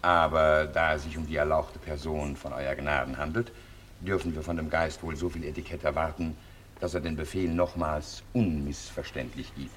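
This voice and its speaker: A learned, sceptical doctor in a radio play. His deep voice is measured, formal and sententious, with faint background noise. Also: fairly even intonation, fairly bright tone. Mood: measured, deferential. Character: weighty, diplomatic learned doctor, courtly sceptic. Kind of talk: German radio play acting.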